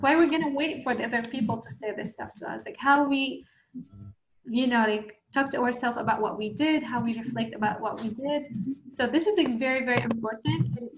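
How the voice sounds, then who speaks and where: A woman's voice: a little high, roomy sound, muffled recording, a little hiss or hum. A woman from Syria, talking casually about lessons she has learned, with many fillers. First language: Arabic. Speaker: speaker. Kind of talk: casual talk sharing lessons.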